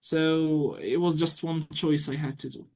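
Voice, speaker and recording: A man's voice: a little high, unhurried. Chatty young man on a call. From Egypt. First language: Arabic. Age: young adult. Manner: chatty, enthusiastic, repeats words when excited. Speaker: university student guest. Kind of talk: casual interview chat. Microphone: video call.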